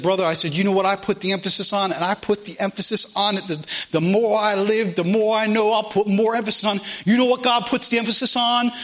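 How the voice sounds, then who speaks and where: High-pitched, forceful man preaching. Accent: American. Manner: emphatic, exhorting, repeats phrases for emphasis. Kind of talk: fervent sermon with scripture readings.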